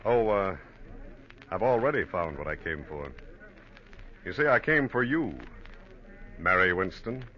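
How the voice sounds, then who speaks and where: An actor playing a polite, guarded American detective in a 1940s radio drama, speaking in a measured, courteous way. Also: male voice, sits low, animated. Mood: quietly knowing. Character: polite, guarded heavy-set American man, suspected detective. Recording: muffled, noisy old radio recording.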